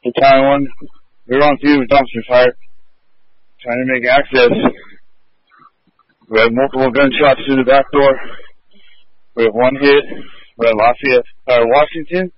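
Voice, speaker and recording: A male firefighter reports over a dull two-way radio in short procedural phrases. His voice is mid-pitched and the sound is dry, with no room around it. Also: steady tone, unhurried, pauses often, no echo. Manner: terse, procedural. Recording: dull lo-fi field radio transmission.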